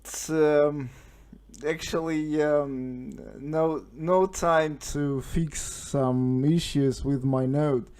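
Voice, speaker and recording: Male radio hobbyist, Russian accent. Very slow, halting, mellow delivery, casual on-air chat. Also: on the high side, steady tone, clear, resonant tone. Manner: hesitant, halting, searching for words. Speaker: amateur radio operator. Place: at home, indoors.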